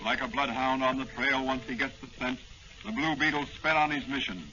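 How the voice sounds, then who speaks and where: A man narrates a vintage radio serial in a declamatory, dramatic style. His voice has a middling pitch. The recording is muffled and limited, like an old broadcast. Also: fairly even intonation, brisk.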